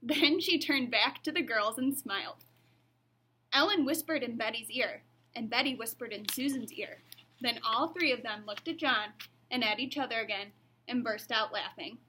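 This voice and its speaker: A woman's voice: on the high side, normal speed, sharp tone, a little hiss or hum, rough recording. A woman narrating a children's storybook aloud. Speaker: reader.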